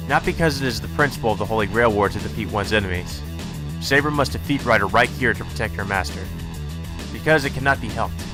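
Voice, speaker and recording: A man reading visual novel narration aloud for a let's play, in a deliberate, dramatic manner. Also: on the low side.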